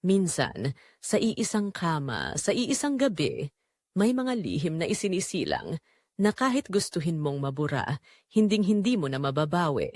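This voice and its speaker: A woman's voice: on the low side, wildly swooping pitch, moderate pace, darkish tone, no room sound, clean studio sound. Woman narrator, swooping dramatic Filipino delivery. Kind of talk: dramatic scripted story narration.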